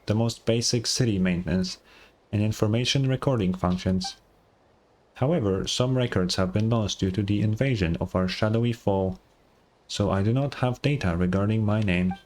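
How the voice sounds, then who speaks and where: A man voicing a huge ancient dragon robot record-keeper from a game, formal, measured and helpful. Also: fairly low pitch, darkish tone, natural voice. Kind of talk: reading game dialogue aloud.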